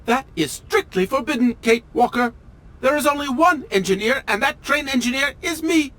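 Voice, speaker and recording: A man's voice: very high-pitched, normal speed, bright, forceful tone. A man playing a pompous, melodramatic automaton train engineer in a video game, with formal, fussy and theatrical diction. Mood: stiffly officious. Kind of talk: video game character acting.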